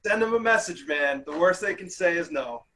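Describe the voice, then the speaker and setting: Relaxed man with a slightly high voice. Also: moderate pace. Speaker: guest comedian. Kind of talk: casual video call chat. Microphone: video call.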